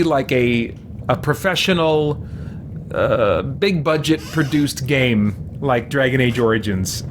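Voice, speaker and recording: A man's voice: medium pitch, noisy background. A man hosting a gaming stream with casual, rambling, explanatory let's-play commentary. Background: game music.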